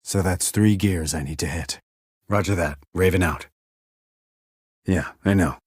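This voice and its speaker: A man playing a terse, calm military sniper in a video game, clipped and controlled, reporting in tactical radio calls. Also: measured pace, no echo, clean studio sound. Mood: focused, terse. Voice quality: low, husky. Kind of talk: tactical radio call acting.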